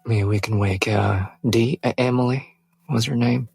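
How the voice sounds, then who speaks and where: A man playing a weary, weakened adventurer who speaks haltingly and politely in a husky voice. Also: mid-range voice, steady tone, darkish tone, faint music behind. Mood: halting, decisive. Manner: halting, hesitant, slow.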